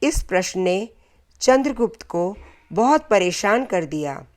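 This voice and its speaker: Slow-paced woman telling a moral tale. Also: medium pitch, big pitch swings. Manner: measured delivery in short, deliberate phrases. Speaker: storyteller. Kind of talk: scripted moral folktale narration.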